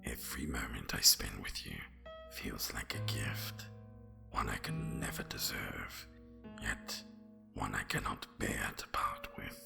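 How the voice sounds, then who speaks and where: A man voicing an obsessive, seductive dragon lover in an intimate, breathy whispered ASMR roleplay, close to the mic. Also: a bass voice, over music, full character voice. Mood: tender, devoted. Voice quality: breathy whisper with gravelly growl. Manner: slow, intense, intimate.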